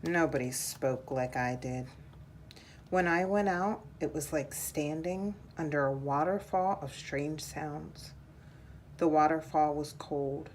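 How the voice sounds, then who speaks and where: A woman reading a children's picture book aloud in a measured, deliberate storytelling style. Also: low-pitched, a little mellow, a little hiss or hum.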